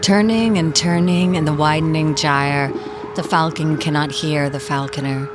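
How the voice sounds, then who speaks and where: An actress voicing an ominous reciter of doom, delivering verse gravely in an audio drama trailer. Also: female voice, sits low, fairly even intonation, normal speed, noisy background, close to an everyday voice. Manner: grave, portentous. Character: ominous female doom-laden poem reciter. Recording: voice under trailer music.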